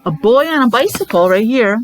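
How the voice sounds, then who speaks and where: A woman's voice: a little high, lively intonation, fairly quick, slight background noise, low-quality recording. A woman teacher reading a picture book aloud to young children, explaining it simply in short, repetitive asides. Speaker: preschool or special-needs teacher.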